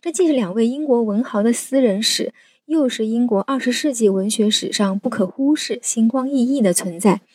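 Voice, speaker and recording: Bright, crisp-edged female podcast host, slightly husky. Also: fairly high pitch, moderate pace, few pauses, dry recording. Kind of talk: scripted podcast introduction.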